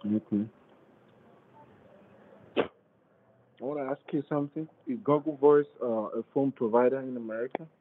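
A man's voice: mid-range voice, moderate pace, pauses often, narrow, tinny audio, a little hiss or hum. A man calling as a scammer, insistently pressing for phones and purchases. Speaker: scam caller.

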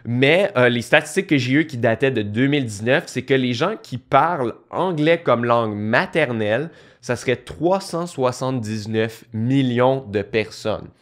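A young man with a Québécois French accent, explaining in a casual talking-head video from a small home studio, lively and gesturing, restarting phrases as he thinks. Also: mid-range voice, normal speed. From Quebec. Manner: animated, gestures a lot, thinks aloud with restarts. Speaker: online course creator. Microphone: studio mic on boom arm beside him.

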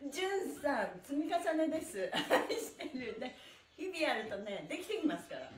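A middle-aged woman instructs a stretching class in a high, very lively voice. She is cheerful and encouraging, calling out chanted cues as she moves. She is heard from a distance in an echoey room. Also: normal speed, a little hiss or hum. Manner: cheerful, encouraging, often laughing as talking; exercising while talking. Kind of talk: live exercise instruction with chanted counts. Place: small indoor room with bare wall, on a mat. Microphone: camera a few metres away. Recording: roomy echo, distant mic.

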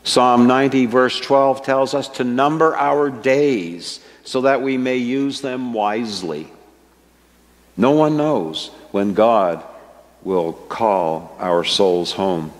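An older man preaching a sermon from a church pulpit, slow and earnest, stressing his key words. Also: mid-range voice. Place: church sanctuary with a stage, indoors. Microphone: microphone at a wooden pulpit, fixed camera. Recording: long church reverb.

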